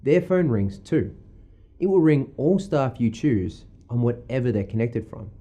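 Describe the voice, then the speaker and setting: A man's voice: mid-range voice, expressive, unhurried, mellow. A man giving a conversational explanation of how a product works.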